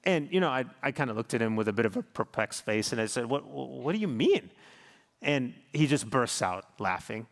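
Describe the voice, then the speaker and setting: A man's voice: medium pitch, very animated, moderate pace, gravelly. A man in his thirties preaches to his congregation, his voice sitting in an ordinary middle register. He tells stories and prays in an earnest, pastoral manner. A long reverb trails after him, as in a large church hall. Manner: warm storytelling, earnest pastoral delivery. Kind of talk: sermon with closing prayer.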